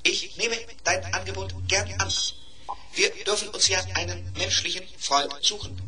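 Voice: medium pitch, steady tone, normal speed, natural voice. An actor playing a polite, earnest schoolboy robot in a 1970s German children's radio play, speaking precisely. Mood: businesslike.

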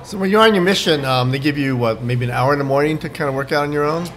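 Older male interviewer, a bit high. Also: fast, a bit bright. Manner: friendly, prompting questions. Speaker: interviewer, host. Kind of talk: casual interview. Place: small restaurant, indoors. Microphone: desk mic on stand.

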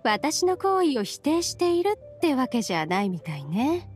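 A woman voicing a courteous, mysterious traveller in an anime game, composed and measured. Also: high-pitched, big pitch swings, a little mellow. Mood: cool, courteous. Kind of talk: anime game character acting. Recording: game music behind the voice.

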